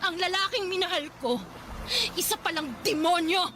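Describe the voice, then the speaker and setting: A woman's voice: very high-pitched, steady tone, moderate pace, noisy background. An actress playing an angry, proud young woman scorned by her ex, in Filipino radio drama style with a Filipino accent. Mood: hurt, defiant. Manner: indignant, confrontational, sarcastic.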